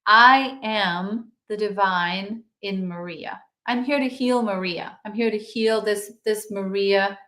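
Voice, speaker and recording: Soft-spoken woman, roomy sound. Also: medium pitch, takes it slowly, hardly any pauses. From Denmark. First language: Danish. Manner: soft, meditative, drifting quieter. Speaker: guest, yoga teacher and author. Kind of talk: spiritual interview talk.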